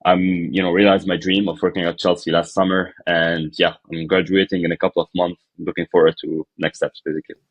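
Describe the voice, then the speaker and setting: A man's voice: low voice, little pitch movement, brisk, few pauses, no room sound, rough recording. A young man from Lebanon, a podcast guest telling his life story in a casual, hesitant way with many fillers and restarts.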